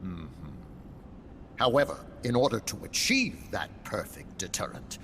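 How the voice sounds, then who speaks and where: An actor playing a cold, calculating villain in a video game cutscene, lecturing in a measured, authoritative way. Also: male voice, mid-range voice. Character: cold, calculating male nuclear strategist villain. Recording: long hall reverb, music behind.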